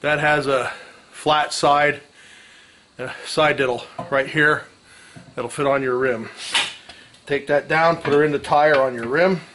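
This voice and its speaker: Higher-voiced folksy man, clanking around him. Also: fairly even intonation, takes it slowly, long pauses, fairly bright tone. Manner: folksy, salty slang, talking while working. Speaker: mechanic, host of a how-to video. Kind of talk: casual hands-on tutorial. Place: garage with open door. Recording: clanking metal tool noise.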